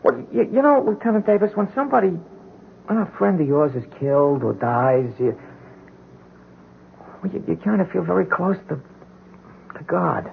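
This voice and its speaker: A hapless, meek little drunkard in an old radio drama, high-pitched and swooping. He stammers and wheedles in rough street grammar, pleading his innocence through a muffled broadcast recording. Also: expressive, normal speed, pauses often, a little hiss or hum, exaggerated voice. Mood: meek, hesitant. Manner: hesitant, stammering, ingratiating, uneducated street grammar.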